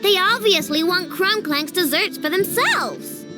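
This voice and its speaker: Bratty, high-pitched little girl inventor. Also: animated. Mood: earnest, excitable. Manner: emphatic, petulant, drawn-out exclamations. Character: hot-headed young girl inventor, stubborn and childish. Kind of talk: animated game cutscene dialogue. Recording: music behind the voice.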